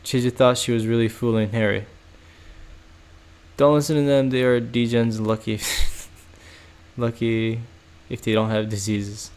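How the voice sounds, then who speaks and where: Rambling young man with a gravelly voice. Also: medium pitch, fairly even intonation, measured pace, a little mellow. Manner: rambling, lots of "like" and "bro", laughs often. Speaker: streamer. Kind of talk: casual livestream chat reacting to chat.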